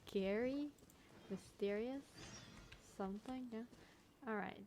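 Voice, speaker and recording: A woman's voice: on the high side, takes it slowly, a little mellow, a little hiss or hum. A woman giving casual, laid-back reactions while playing a video game, in short interjections. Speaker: gaming video creator.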